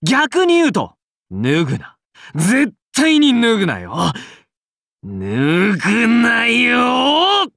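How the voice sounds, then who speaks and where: A man's voice: extremely high pitch, huge pitch swings, extremely slow, gravelly, a bit bright, no room sound, clean studio sound, full character voice. An actor playing a friendly young paladin king in a game, casual and bantering like a cool older brother. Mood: flustered. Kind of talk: scripted game character dialogue.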